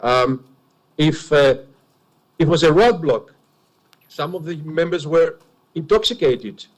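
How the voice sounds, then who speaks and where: A man with a heavy Greek accent, a native Greek speaker, gives witness testimony at an enquiry. His voice sits a little high. He speaks in an earnest, emphatic, confessional way. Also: expressive, takes it slowly. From Greece.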